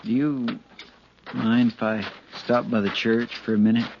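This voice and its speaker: An actor playing a sincere, humble marshal's sidekick in a 1950s radio western, earnest and deferential. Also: male voice, medium pitch, flat delivery, a little slow, creaky. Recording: muffled, faintly noisy 1950s radio recording.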